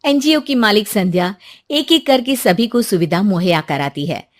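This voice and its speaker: A woman's voice: mid-range voice, expressive, moderate pace. A woman narrating a scripted moral story as its storyteller.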